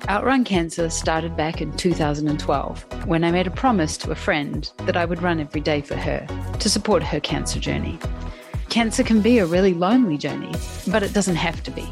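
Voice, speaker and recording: Woman, forties, podcast host, chatty, laughs often, lowish voice. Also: fairly quick, over music. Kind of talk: casual conversation with her husband.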